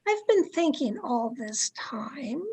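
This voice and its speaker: A woman acting a domineering, fearful, haunted wife in an old-time radio thriller, with an imperious, dramatic delivery full of halting dread. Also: high-pitched, big pitch swings, a little mellow, slightly rough, full character voice. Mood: hushed dread.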